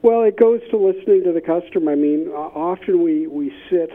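A man's voice: on the high side, a little fast. Man on a tinny phone line. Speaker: interview guest, business innovation consultant. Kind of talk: conversational interview explanation.

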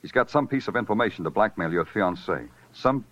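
An actor playing a weary, curt, hard-boiled New York police detective in a 1940s-style radio crime drama, terse and brooding. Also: male voice, on the low side, animated, a little fast, a bit bright. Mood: dry, curt. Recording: dull old radio recording with music.

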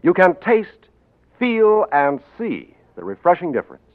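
A male radio announcer reading a commercial in a smooth, upbeat selling manner. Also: high-pitched, huge pitch swings, unhurried. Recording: tinny old radio broadcast.

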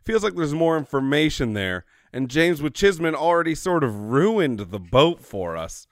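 A man co-hosting and playing a tabletop game, jokey and animated. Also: fairly high pitch, normal speed, no echo, clean studio sound. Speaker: player and co-host. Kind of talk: casual tabletop chat.

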